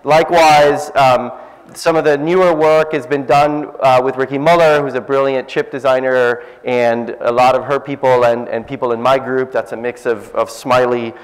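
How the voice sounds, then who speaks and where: A middle-aged man with a loud voice giving a casual, self-deprecating science talk to a cafe audience. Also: medium pitch, moderate pace. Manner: self-deprecating, lively, jokey. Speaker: science speaker. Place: cafe event space, indoors. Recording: echoey event space.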